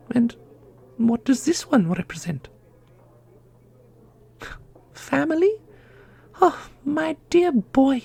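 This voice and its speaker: A woman playing an ancient female custodian in a fantasy audio drama, dignified and sorrowful, speaking in a formal, measured, gentle way. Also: sits high, creaky, exaggerated voice. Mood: tender.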